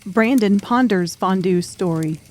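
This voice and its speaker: A woman's voice: mid-range voice, a little slow, darkish tone. A woman narrating a dramatic audiobook story from a script.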